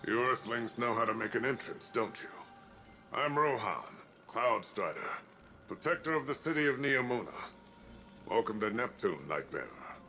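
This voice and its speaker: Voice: medium pitch, lively intonation, moderate pace, pushed and bright, soft background music. An actor playing a bold, seasoned male city protector in a video game cutscene, wry and confident. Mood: wry, welcoming.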